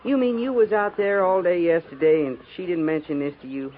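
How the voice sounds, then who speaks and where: An actor playing a folksy, loyal army sergeant with a rustic Western American accent, in 1950s radio drama style. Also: male voice, high-pitched, fairly quick, close to an everyday voice. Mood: gossipy, puzzled. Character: folksy, loyal, plain-spoken army sergeant of the Old West. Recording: tinny, hissy 1950s radio recording.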